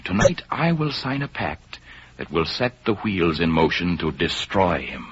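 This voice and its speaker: A man's voice: sits low, lively intonation, measured pace, fairly bright tone, a little hiss or hum. A man playing an earnest, lovestruck aristocratic count in a 1930s radio drama, speaking in a declamatory, romantic way. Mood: grave, confiding. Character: ardent aristocratic count, earnest lover and patriot. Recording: muffled old 1930s radio recording with music.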